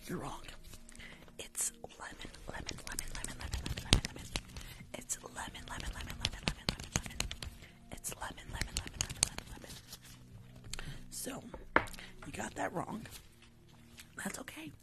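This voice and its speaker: A young woman in a playful, chatty ASMR medical roleplay, speaking in a breathy whisper close to the microphone and repeating words as she goes. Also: mid-range voice, big pitch swings, moderate pace, long pauses. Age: young adult. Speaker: ASMR performer playing a doctor. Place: small room at home. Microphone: large desk microphone, close.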